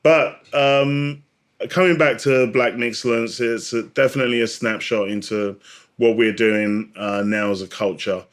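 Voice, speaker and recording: A man's voice: mid-range voice, steady tone, unhurried. A man speaking as a podcast guest, telling stories and explaining in a reflective, conversational way. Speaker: podcast guest, drinks industry advocate. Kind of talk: conversational interview, storytelling.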